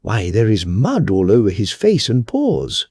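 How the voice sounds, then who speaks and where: A man's voice: on the low side, wildly swooping pitch, a little mellow, husky, dry recording, clean studio sound, exaggerated voice. A man voicing a sly, boastful little hare in a storybook fable. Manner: sly, quick to blame.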